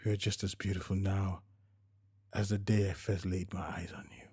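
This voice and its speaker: Middle-aged husband for a story, read by a man: low voice, soft-spoken, tender, a little awkward. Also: flat delivery, normal speed, husky. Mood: tender, reassuring. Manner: gentle, soft murmuring.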